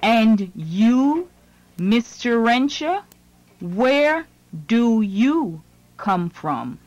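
Female lesson narrator, very slow, measured, dry studio sound. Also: fairly high pitch, sing-song, a little mellow, dry recording. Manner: slow, deliberate, phrase by phrase. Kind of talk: scripted lesson reading.

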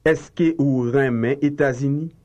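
A man reading slow, carefully enunciated translations in a language drill. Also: medium pitch, fairly even intonation, darkish tone, dull audio. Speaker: language teacher.

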